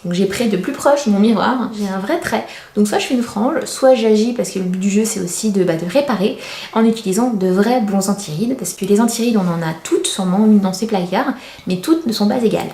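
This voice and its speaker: A woman in her thirties talks to her viewers like a chatty beauty vlogger. Her voice is soft-edged and mellow, at a middling pitch. She is confiding and a little self-deprecating, and the room around her adds a clear echo. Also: fairly quick. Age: 30s. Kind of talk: casual product review to camera. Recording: roomy, echoey indoor sound.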